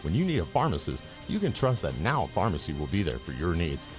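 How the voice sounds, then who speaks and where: A man delivers a scripted commercial voice-over in a lowish voice. His intonation moves up and down in a lively way, and music plays clearly behind him. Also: brisk. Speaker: advertisement voice-over. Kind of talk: scripted promotional narration. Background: music bed.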